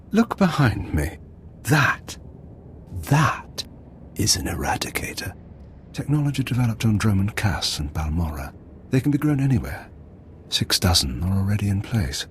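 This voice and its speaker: An actor playing a bitter, grandiose revolutionary leader in a video game, speaking in deliberate, theatrical speeches. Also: male voice, lowish voice, big pitch swings, slight background noise. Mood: defiant.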